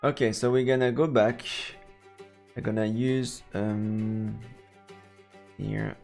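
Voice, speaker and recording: Creaky-voiced man reading out a game. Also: fairly low pitch, flat delivery, slow, darkish tone, faint music behind. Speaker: streamer playing and reading a visual novel. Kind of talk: let's play narration with casual asides.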